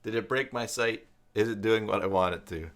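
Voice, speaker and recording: A man with a Canadian accent hosting a casual live tutorial, thinking aloud in a halting, musing way. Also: on the low side. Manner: halting, musing, reads aloud and mutters to himself. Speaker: live stream host, web tutorial presenter.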